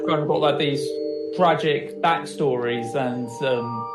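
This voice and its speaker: A man co-hosting a podcast in casual chat, talking in halting, filler-heavy stretches. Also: mid-range voice. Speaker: podcast co-host. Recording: roomy, distant mic, music bed.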